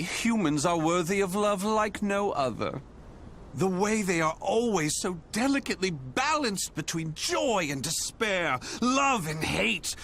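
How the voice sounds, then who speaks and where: An actor playing a theatrical, sadistic video game villain who grandly professes love for humanity, with a flamboyant, drawn-out delivery. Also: male voice, high voice, fairly bright tone, slight background noise, heavily acted. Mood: grandiose devotion.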